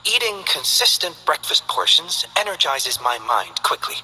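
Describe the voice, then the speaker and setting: An actor playing a calm, reflective male scholar who speaks in a measured, precise way in game character voice lines. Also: on the high side, a little hiss or hum, full character voice, poor audio. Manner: measured, thoughtful, precise delivery.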